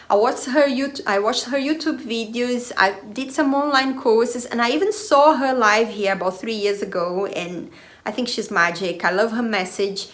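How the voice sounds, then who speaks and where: A woman whose first language is Portuguese, talking informally to her viewers as a channel host, often searching for words. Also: on the high side. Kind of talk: informal personal talk to viewers.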